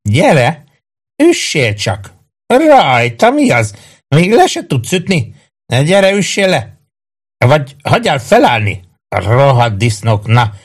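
A man voicing a small, defiant young soldier in an audiobook, shouting coarse taunts. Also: medium pitch, very animated, slightly rough, pitched above the performer's own voice. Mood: furious taunting.

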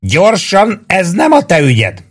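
A man voicing a small, defiant young soldier in an audiobook, shouting coarse taunts. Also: medium pitch, sing-song, breathy edge, pitched above the performer's own voice. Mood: frantic shouting.

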